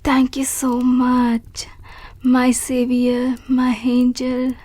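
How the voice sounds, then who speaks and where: A woman voicing a shy, grateful young female wolf creature in an audio roleplay, speaking softly and politely and stammering when unsure. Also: high-pitched, completely flat delivery, drawn-out words, background noise. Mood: bashful. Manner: soft, polite and hesitant, with stammers.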